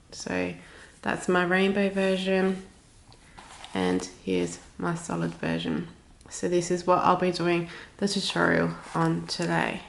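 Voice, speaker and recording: Snuffly, creaky-voiced woman teaching crochet. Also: fairly low pitch, slight background noise. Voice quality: snuffly, slightly stuffed-up nose. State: has a cold. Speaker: crochet tutorial host. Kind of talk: casual step-by-step craft tutorial.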